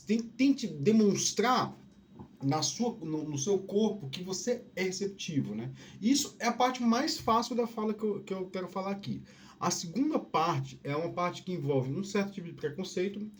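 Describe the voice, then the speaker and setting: A man with a softened Northeastern Brazilian accent and a high-pitched voice talks on his own in a loose, casual monologue. He rambles, restarts his sentences, and keeps tagging them with little checking questions. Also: slight background noise. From Northeast Brazil (Piauí family). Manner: rambling, many restarts and "né?" tags. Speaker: podcast host.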